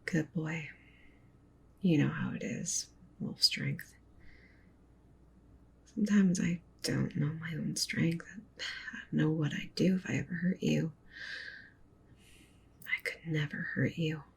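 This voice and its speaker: A woman voicing a doting, possessive, dominant wolf witch girlfriend in an ASMR roleplay, softly coaxing and commanding in a breathy near-whisper. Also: takes it slowly, pauses often, a little hiss or hum, full character voice. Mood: affectionate, teasing. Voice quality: soft, breathy whisper mixed with low murmur.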